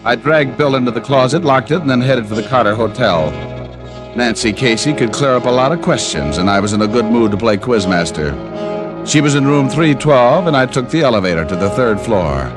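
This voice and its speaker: An actor playing a tough, wisecracking private detective, narrating and talking in hard-boiled old radio noir style. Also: male voice, mid-range voice, expressive, brisk, music in the background, close to an everyday voice.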